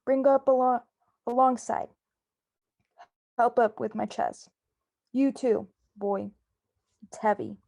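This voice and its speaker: A woman playing a gruff, bossy old male sea captain in an amateur radio drama, with salty sailor slang. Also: on the high side, fairly even intonation, slow, pauses often, dark tone. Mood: brusque. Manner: nautical slang, barked orders.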